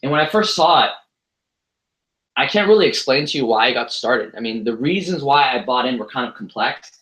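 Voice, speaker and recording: A young man in his twenties gives a motivational talk and keeps slipping into self-deprecating jokes. His voice is bright and forward, at a middling pitch. The sound rings in an echoey room. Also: lively intonation, brisk. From United States. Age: 20s. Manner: joking, self-deprecating storytelling. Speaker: motivational speaker. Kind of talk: motivational speech to an audience.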